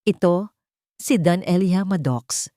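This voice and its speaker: Lady narrator, kinda low voice, serious dramatic audio story, super dry recording. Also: slow, warm tone, dry recording.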